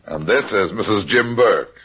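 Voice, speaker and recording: An actor playing a wisecracking, unflappable heavyset private detective in a vintage radio drama, wry and sardonic. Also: male voice, fairly low pitch, moderate pace, slightly rough. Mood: wry, calm. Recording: muffled vintage radio recording.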